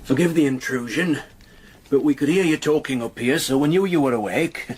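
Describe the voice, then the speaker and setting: An actor playing a kindly, hospitable gentleman with a husky voice, courteous and bluff, in a period radio drama. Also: a little high, fairly quick.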